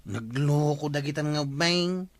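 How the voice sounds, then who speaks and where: A man's voice: fairly high pitch, measured pace, dry recording, natural voice. A man playing an easygoing, dutiful village watchman in an Ilocano radio drama. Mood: earnest.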